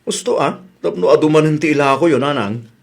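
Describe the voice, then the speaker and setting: A calm, dutiful grown son. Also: male voice, a little high, brisk, gravelly. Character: grown son helping his mother. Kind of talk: Ilocano radio drama acting.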